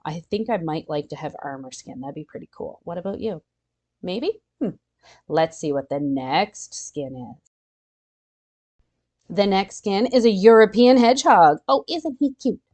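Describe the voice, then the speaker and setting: Very animated woman reading to children. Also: fairly low pitch. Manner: expressive, explains words simply, asks the listeners questions, gasps in wonder. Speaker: children's storytime host reading a picture book aloud. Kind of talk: animated read-aloud with asides to young listeners.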